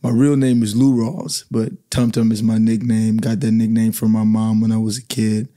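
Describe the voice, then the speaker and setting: A man in his thirties from the Bahamas, a basketball coach talking calmly as a podcast guest in a small studio. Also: on the low side, moderate pace. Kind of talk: podcast conversation. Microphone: studio mic on boom arm.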